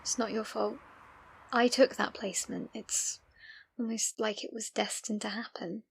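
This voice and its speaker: An actress playing a guarded but forgiving young friend in an audio drama, gentle and understated in delivery. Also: female voice, mid-range voice, little pitch movement, measured pace, creaky, husky, rough recording. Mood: tentative, gentle.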